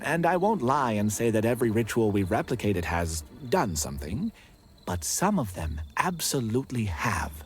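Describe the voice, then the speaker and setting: Theatrical, eager eccentric cult leader. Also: male voice, lowish voice, very animated, normal speed, soft background music. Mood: earnest, awed. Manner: theatrical, formal, old-fashioned wording, enthusiastic. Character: eager, eccentric male leader of amateur pagan ritual club. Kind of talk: video game voice acting.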